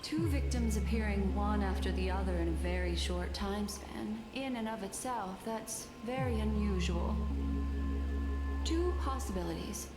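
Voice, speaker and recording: An actress playing a poised, mysterious and shrewd woman in a fantasy video game, advising calmly in measured, formal phrases. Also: mid-range voice, close to an everyday voice. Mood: grave, thoughtful. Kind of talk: scripted video game dialogue. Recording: reverberant voice under loud game music.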